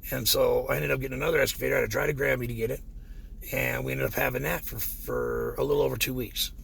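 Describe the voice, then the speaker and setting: Hoarse, gravelly man venting. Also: mid-range voice, steady tone, fast talker, fairly bright tone. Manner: frustrated, rambling, many fillers. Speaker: pond contractor. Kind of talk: casual vlog rant.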